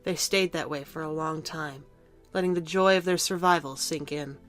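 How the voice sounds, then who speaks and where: Female audio drama narrator, slightly low voice, scripted storytelling with faint music underneath. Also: steady tone, moderate pace, fairly bright tone.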